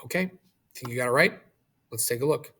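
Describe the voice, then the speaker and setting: A male teacher narrates a video lesson in a mid-pitched voice with plenty of gravelly fry. He explains step by step in an instructional way, putting questions to the viewer to check understanding. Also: fairly quick, bright, forceful tone.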